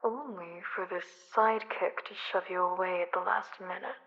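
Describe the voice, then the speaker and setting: A woman playing a theatrical, obsessive female villain who taunts in a drawn-out, dramatic way in an audio roleplay. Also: fairly low pitch, fairly even intonation, dull audio, close to an everyday voice. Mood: scornful, bitter.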